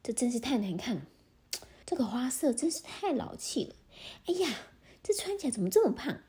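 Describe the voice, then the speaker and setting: A woman voicing a vain, short-tempered king who shouts and fusses, in a children's storybook. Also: sits high, very animated, a little fast, husky, pitched above the performer's own voice, heavily acted. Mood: fussy. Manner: shouting, fussy and demanding.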